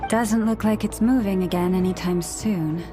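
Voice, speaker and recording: A woman playing a calm, understated antlered young woman in an anime game, gentle and matter-of-fact. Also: medium pitch, moderate pace, music in the background, close to an everyday voice.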